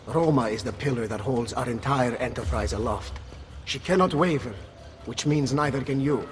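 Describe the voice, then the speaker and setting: An actor plays a power-hungry Renaissance warlord for a game cutscene. His voice is middle in pitch, domineering and seductive by turns. It echoes in a hall over faint ambient noise. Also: normal speed, natural voice. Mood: commanding. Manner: commanding, domineering. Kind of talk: video game cutscene acting.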